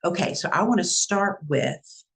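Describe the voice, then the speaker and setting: Texan woman host, gravelly, chatty, casual livestream from home. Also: fairly low pitch, expressive, moderate pace, fairly bright tone, a little hiss or hum. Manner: chatty, self-narrating, laughs easily. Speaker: psychic medium and show host.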